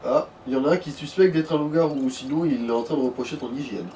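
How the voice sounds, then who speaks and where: A man's voice: medium pitch, quick pace, warm tone. A man chatting casually and jokingly as co-commentator on a video game. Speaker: gaming co-commentator. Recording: echoey, distant mic, some noise.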